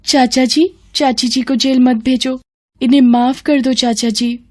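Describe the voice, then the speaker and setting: A woman's voice: sits high, flat delivery, unhurried, a little mellow, dry recording, studio quality. A woman playing an innocent, frightened little orphan girl in a cartoon moral story, childlike and pleading. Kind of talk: animated moral story acting.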